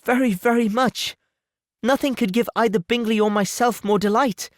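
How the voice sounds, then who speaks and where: A man voicing a sweet, earnest young gentlewoman in an audiobook, exclamatory, affectionate and anxious. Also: high voice, moderate pace, dry recording, studio quality, voice pushed up, close to an everyday voice. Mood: delighted, anxious. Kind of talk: audiobook character voice.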